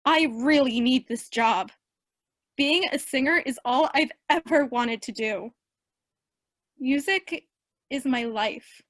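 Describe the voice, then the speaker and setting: An eager, youthful eighteen-year-old girl, played by a woman in a radio drama. Her voice is high and bright with an earnest manner, recorded dry and close. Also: takes it slowly, long pauses, no echo, full character voice. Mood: pleading. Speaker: actor in a radio play. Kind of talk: radio play acting.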